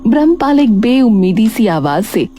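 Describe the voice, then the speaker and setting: Lively woman narrating a dramatic story. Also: on the high side, moderate pace, soft background music.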